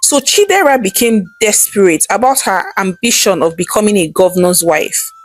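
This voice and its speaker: A woman tells a scripted folktale with very animated, swooping pitch. Her delivery is dramatic storytelling, with faint music playing underneath. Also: normal speed. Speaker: storyteller narrator.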